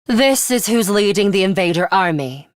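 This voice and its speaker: An actress playing a stern, aloof young woman in a video game, speaking in a curt, commanding, deliberate way. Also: mid-range voice, expressive, dry recording, clean studio sound, close to an everyday voice. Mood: cool, commanding. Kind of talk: scripted video game character lines.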